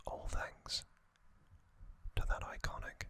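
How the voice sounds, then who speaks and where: A man whispering an ASMR trivia recap in a breathy, unvoiced voice, deliberately, in short phrases with pauses. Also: bright, forceful tone. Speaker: ASMR host.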